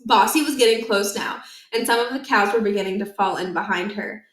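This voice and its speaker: A woman's voice: medium pitch, fairly quick. Woman reading aloud in an echoey room. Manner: expressive, dramatizes the story. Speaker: storybook reader. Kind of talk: children's read-aloud narration. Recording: roomy, echoing indoor recording.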